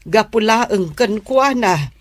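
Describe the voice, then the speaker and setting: A woman's voice: medium pitch, animated, takes it slowly, no room sound. An actress playing a concerned, exasperated middle-aged mother in a Filipino radio drama, lamenting and chiding with a Filipino accent. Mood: worried, chiding. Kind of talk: Philippine radio drama acting.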